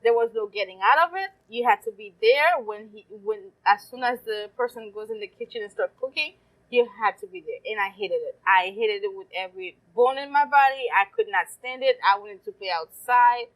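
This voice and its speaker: A woman's voice: fairly high pitch, fairly quick, rough recording. A woman, telling stories as a guest in a casual interview and laughing often. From Haiti. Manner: lively storyteller, laughs often. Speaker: guest, caterer and café owner. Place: farmers market.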